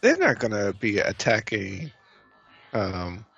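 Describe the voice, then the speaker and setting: A young man doing casual gameplay commentary on a stream, excitable and quick to doubt what he hears. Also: fairly low pitch, creaky, slightly rough, muffled recording, faint music behind. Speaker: game streamer.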